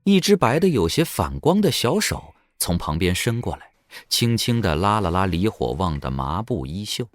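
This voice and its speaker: Deep, animated male narrator. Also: moderate pace, no echo, clean studio sound. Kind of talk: dramatic scripted audiobook narration. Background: background music.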